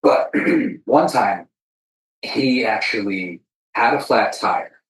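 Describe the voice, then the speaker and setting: A man with a fairly low voice, heard in a roomy, echoing space. He tells stories in a casual, reflective podcast style, earnest and emphatic, pausing between short phrases. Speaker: podcast co-speaker. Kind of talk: casual reflective conversation, storytelling. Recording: roomy, echoey sound.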